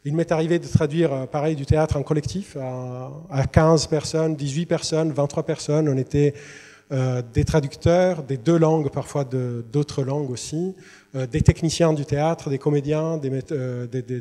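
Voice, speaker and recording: A man presents his work as a translator in a hesitant way, with constant fillers. His timbre is dark and soft. Long reverb from a large hall carries his voice. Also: on the high side, fairly even intonation, normal speed. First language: Italian. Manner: hesitant, many fillers and restarts. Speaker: literary translator. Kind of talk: presentation to students. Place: university lecture hall.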